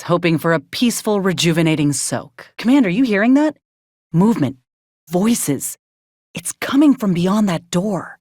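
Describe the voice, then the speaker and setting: Tough wry frontier woman, Southern US drawl, slightly low voice, lively pitch swings, folksy, plainspoken, video game companion. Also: no room sound, clean studio sound. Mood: urgent. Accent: Southern American.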